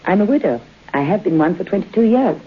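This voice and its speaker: An actress playing a genteel, knowing middle-aged widow in a vintage radio suspense play. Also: female voice, mid-range voice, animated, fast, slight background noise, lightly acted. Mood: coyly hospitable. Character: genteel, eerie middle-aged widow hostess, coyly hospitable. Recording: muffled tinny old radio broadcast.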